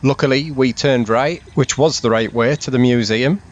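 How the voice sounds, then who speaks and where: A man's voice: mid-range voice, moderate pace, dull audio. Man narrating a travel vlog. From England. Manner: steady, informative tour-guide delivery. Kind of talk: scripted voice-over narration.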